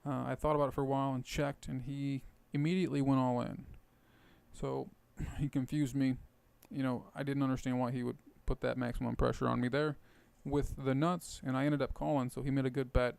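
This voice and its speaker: Hoarse man talking poker strategy. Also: mid-range voice, level tone, a little fast, darkish tone, no echo. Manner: explains strategy, thinks aloud with fillers. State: hoarse, rough voice. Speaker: poker video host. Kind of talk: casual poker commentary over gameplay.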